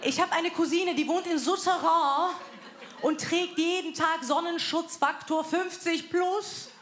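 A woman's voice: very high voice, fairly even intonation, unhurried, a bit bright, slight background noise. A woman in her thirties doing brash, self-mocking stand-up comedy on a live stage. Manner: brash and self-mocking, announces acts energetically. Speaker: comedy show host and comedian. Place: live comedy club with audience. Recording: live stage mic, crowd laughter.

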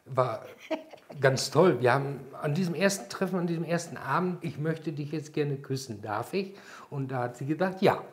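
A man in his sixties, interviewed for TV with his partner, who talks in a calm, affectionate way. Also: fairly high pitch, moderate pace. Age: 60s.

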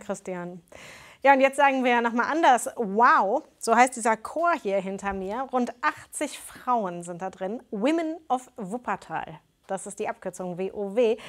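A woman reports live on location, presenting in an upbeat way. Her voice is fairly high. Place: outdoors with a choir nearby.

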